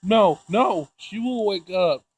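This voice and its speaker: A man's voice: sits high, expressive, takes it slowly, breathy edge, no echo, pitched above the performer's own voice, heavily acted. A man reading the part of a distraught, desperate man in a visual novel, urgent, terse and pleading. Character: distraught, desperate man trying to revive a friend.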